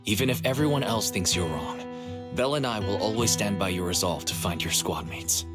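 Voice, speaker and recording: An actor playing a supportive, resolute young man in a video game, speaking calmly and earnestly to reassure. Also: lowish voice, brisk, over loud music, close to an everyday voice. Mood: earnest, reassuring. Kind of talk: dramatic video game dialogue.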